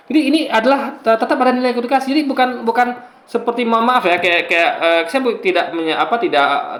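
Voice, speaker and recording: A man in his forties with a high voice speaks casually to his viewers in an echoey room. He explains his points haltingly, circles back over phrases and keeps checking that listeners follow. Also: fairly bright tone. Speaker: YouTuber. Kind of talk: casual commentary monologue to viewers.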